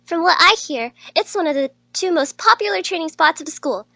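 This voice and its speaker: A woman's voice: very high-pitched, animated, brisk, creaky, sharp tone, dry recording, studio quality, heavily acted. A woman voicing a confident, playful young female student in a visual novel. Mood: helpful, upbeat. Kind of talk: character dialogue in a visual novel reading.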